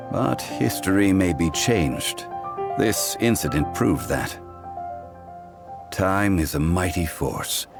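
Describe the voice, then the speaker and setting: An actor voicing a calm, wise ancient god who speaks with formal, measured storytelling in a game cutscene, with game music behind. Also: male voice, low-pitched, creaky, exaggerated voice. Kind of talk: video game cutscene dialogue.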